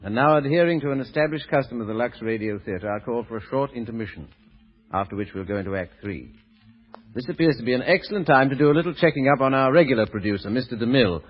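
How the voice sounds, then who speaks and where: A man hosting a 1930s radio theater program in a formal, scripted style. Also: medium pitch. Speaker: guest host of radio theater. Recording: muffled old broadcast, long hall reverb.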